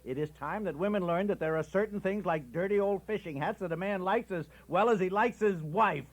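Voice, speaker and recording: Fussy middle-aged husband, American accent, high voice, exasperated and stubborn, old radio comedy sketch. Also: male voice, fairly quick. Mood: plaintive. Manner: exasperated, repetitive, emphatic, sulky. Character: stubborn, indignant middle-aged husband fond of his old fishing hat.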